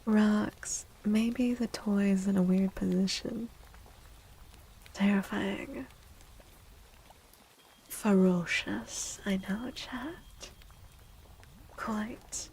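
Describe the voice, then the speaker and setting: A woman host speaking softly and gently in a livestream ASMR style, breathy and often slipping into a whisper, drawing out her words. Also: mid-range voice, slight background noise, poor audio. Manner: slow, gentle, drawn-out words. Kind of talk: soft-spoken ASMR livestream chat.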